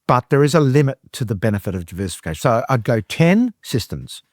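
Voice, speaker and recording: A man, a podcast guest explaining his views in a measured, deliberate way. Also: mid-range voice, very animated, no room sound, clean studio sound. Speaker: guest expert. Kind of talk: conversational interview.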